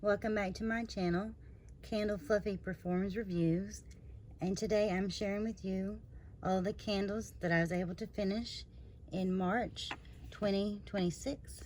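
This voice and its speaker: A woman hosting her own review channel, slightly hoarse after surgery, talking in a rambling, chatty way with lots of fillers and trailing asides. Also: medium pitch, fairly even intonation, measured pace, darkish tone, slight background noise. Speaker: candle review channel host. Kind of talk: casual product review vlog.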